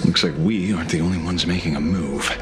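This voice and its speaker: A man's voice: low-pitched, fairly even intonation, fast talker, close to an everyday voice. A man voicing a pragmatic, unsentimental strategist in dramatic video game dialogue. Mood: wry, resolved. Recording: loud music behind. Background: loud game music.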